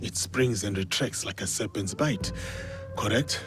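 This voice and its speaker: An actor playing a stern, measured warrior of feudal Japan in a video game, formal and terse. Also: male voice, fairly low pitch, over music, close to an everyday voice. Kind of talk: video game cutscene acting.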